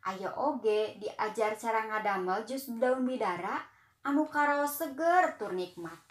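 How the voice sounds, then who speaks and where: A young woman with a Sundanese accent, reading a children's story in a gentle, unhurried way. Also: high-pitched, reverberant. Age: young adult.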